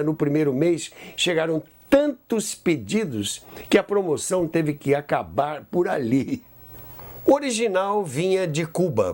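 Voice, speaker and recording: A man with a Brazilian accent hosting a history program, narrating a scripted account in a measured, emphatic storyteller's way. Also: a little high, darkish tone, breathy edge. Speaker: host of a radio history show.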